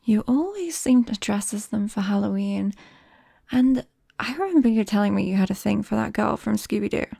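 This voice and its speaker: A British woman playing a shy, nervous vampire best friend in an ASMR roleplay, speaking softly and haltingly and often slipping into a whisper. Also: mid-range voice, lively intonation, no echo, studio quality. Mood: teasing, fond. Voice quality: soft, breathy, often dropping to a whisper. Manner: hesitant, bashful, halting.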